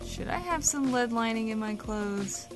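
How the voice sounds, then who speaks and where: A woman playing a curious but bossy radio guest in a comedy audio drama. She banters bluntly and teases, her voice lively and varied in pitch, with soft music behind. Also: unhurried. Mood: teasing curiosity. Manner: blunt, teasing, profane. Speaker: guest co-host.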